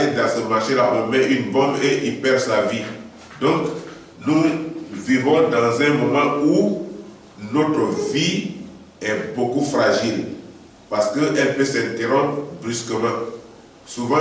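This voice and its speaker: A male pastor preaching a sermon while suffering from a head cold, speaking slowly and deliberately with emphatic rises. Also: mid-range voice. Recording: very echoey, distant, faint background noise.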